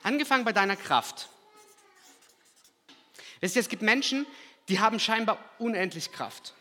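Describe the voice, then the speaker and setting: Brief: male preacher, fairly high voice, animated sermon delivery, long church-hall reverb. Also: normal speed. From Kazakhstan. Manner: animated, swings from loud emphasis to quiet asides.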